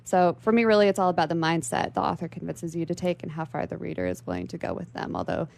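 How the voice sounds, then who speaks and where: A woman's voice: lowish voice, expressive, fast talker, gravelly, darkish tone. A young woman as an interview guest, giving articulate, bookish explanations in long, carefully formed sentences. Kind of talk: prepared explanation in a radio interview. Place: radio station studio.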